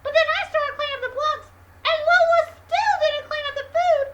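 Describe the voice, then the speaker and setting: A woman's voice: very high voice, steady tone, a little fast, echoey room, far from the mic, dull audio, noisy background, voice pushed up. A woman voicing an earnest little boy who follows the rules and gets frustrated easily, in a whiny, indignant way. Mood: explaining.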